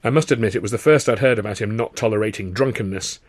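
A man reading a first-person audiobook in a wry, expressive storytelling manner. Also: lowish voice, fast, no echo. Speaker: author reading own novel.

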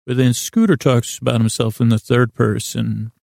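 A man hosting a sleep podcast in a calm, lulling, soothing way, rambling haltingly and circling back over his own words. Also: medium pitch, creaky, dry recording, clean studio sound. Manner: hesitant, halting, circles back and repeats himself. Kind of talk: meandering, rambling bedtime monologue.

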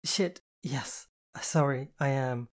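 A narrator voicing a sleepy, kind woman who reassures and gently takes command in an audiobook story. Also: medium pitch, slow pace, close to an everyday voice. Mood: startled. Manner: drowsy, reassuring, evenly commanding.

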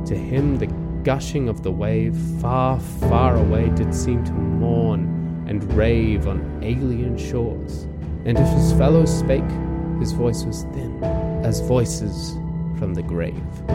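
A man recites verse in a solemn, dramatic manner. He takes it slowly in a mid-pitched voice, with loud guitar music playing behind him. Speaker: poetry reader. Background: plucked guitar music.

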